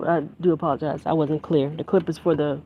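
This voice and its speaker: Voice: medium pitch, fairly even intonation, a little fast, phone-quality sound. An adult teacher of young children chatting casually on a phone livestream while working in a backyard garden, rambling and restarting sentences. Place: outdoor yard garden. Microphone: handheld phone livestream. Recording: outdoor noise from a running generator. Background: generator hum and vehicle noise.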